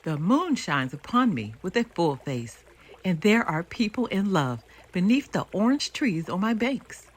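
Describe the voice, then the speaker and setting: A woman's voice: mid-range voice, big pitch swings, measured pace, slightly rough. Woman as a young, eager babbling brook, lilting. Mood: eager, pleading. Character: young babbling brook, eager, playful, pleading child-like spirit.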